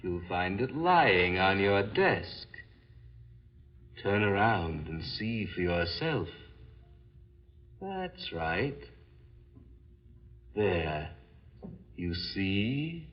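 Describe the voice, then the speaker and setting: An actor playing the taunting, deliberate ghost of a murdered man in a 1940s radio horror drama. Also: sits low, sing-song, heavily acted. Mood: slow menace. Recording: muffled vintage 1940s radio recording, music behind.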